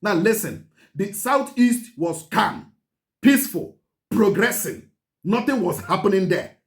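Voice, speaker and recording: A man with a Nigerian accent gives a history commentary. He repeats key points, urges listeners to pay attention, and mixes Igbo phrases into his English. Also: high-pitched. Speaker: channel host.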